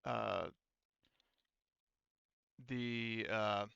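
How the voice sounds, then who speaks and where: A man running a tabletop roleplaying game as game master, explaining things casually and haltingly with frequent restarts. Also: fairly low pitch, steady tone, creaky, bright, forceful tone, clean tone, dry recording, dull audio.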